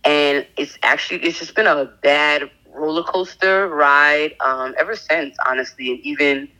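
A woman in her thirties, a podcast guest sharing a candid personal testimony in a halting way, with many fillers and restarts. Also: low voice, steady tone, bright, forceful tone, rough recording. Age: 30s.

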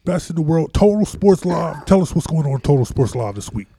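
A man, hosting a casual sports podcast, relaxed, often repeating and restarting words. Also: a little fast, gravelly, breathy edge, no echo. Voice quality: deep voice. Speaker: podcast host. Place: on location in a room.

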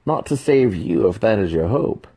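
Young elf apprentice, acted by a man: high, husky, sneering, full of resentment, fantasy audiobook. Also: lively intonation, normal speed, dark tone. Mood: contemptuous. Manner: sarcastic, resentful, spitting.

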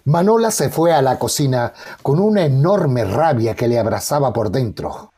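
A man's voice: medium pitch, expressive, normal speed, very noisy. A man giving dramatic scripted narration for a radio soap. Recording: faint music under narration.

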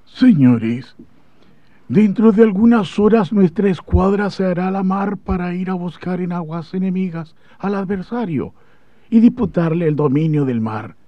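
An actor playing a grave, courteous Chilean naval admiral in a formal, declamatory historical radio drama. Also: male voice, sits high, animated, unhurried, no echo. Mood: rousing, solemn. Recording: dull old radio drama recording.

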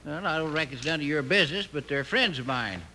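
A man's voice: on the high side, lively intonation, fast talker, bright, forceful tone. A man playing a mischievous storekeeper in his eighties in a 1950s radio Western, talking in a folksy, rambling way. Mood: plain-spoken. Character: cackling old storekeeper prankster of eighty-three. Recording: noisy old radio broadcast.

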